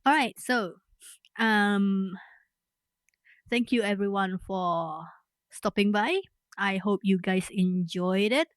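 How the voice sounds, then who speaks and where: Unhurried woman streamer, dry close sound. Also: mid-range voice, dry recording. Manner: rambling, self-correcting, trailing off. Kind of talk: casual live-stream chat.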